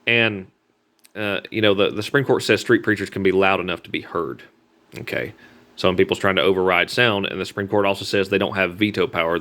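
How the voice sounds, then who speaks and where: Male, Southern US accent, deep but hard-edged voice; earnest, folksy, halting vlog delivery. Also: fairly bright tone. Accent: Southern American. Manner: halting, repetitive, earnest telling with folksy grammar. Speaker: street preacher. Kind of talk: informal personal vlog talk.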